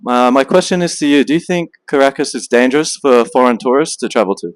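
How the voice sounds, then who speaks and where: A man's voice: medium pitch, quick pace, no room sound. A man conducting street interviews, asking short questions with some hesitant fillers. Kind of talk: street vox-pop interview.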